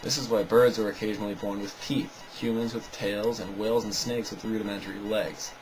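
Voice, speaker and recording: A man's voice: fairly low pitch, steady tone, brisk, low-quality recording. A man giving a scripted, argumentative rebuttal commentary in a sarcastic, dismissive way. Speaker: video narrator rebutting another video.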